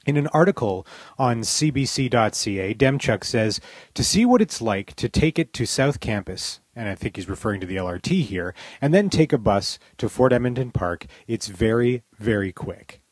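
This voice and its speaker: A man with a slightly rough, husky voice at a middling pitch reads scripted opinion commentary as a podcast host. His delivery is wry, critical and emphatic. The recording is close and dry, with little room around the voice. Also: expressive, normal speed, no room sound.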